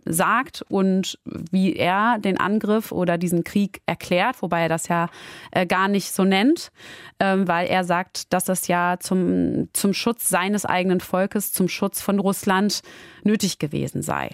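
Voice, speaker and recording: Lowish woman chatting with hesitant fillers. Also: steady tone, a little slow, no echo, studio quality. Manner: hesitant, many fillers, asking questions. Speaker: podcast co-host. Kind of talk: casual chat.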